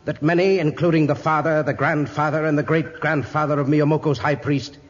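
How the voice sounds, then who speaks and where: Man acting a stern high priest, cavernous reverb. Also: flat delivery, a little fast, dull audio, close to an everyday voice. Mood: solemn, menacing. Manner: solemn, commanding, declamatory. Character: stern, scheming high priest of a moon god. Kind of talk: 1950s radio acting. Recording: old radio recording, long reverb, music behind.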